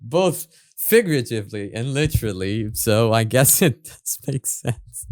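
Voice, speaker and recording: Male reaction host. Smooth medium voice, casual halting delivery with repeats and swearing, very dry sound. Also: mid-range voice, expressive, no echo. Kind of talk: casual spoken commentary on a video.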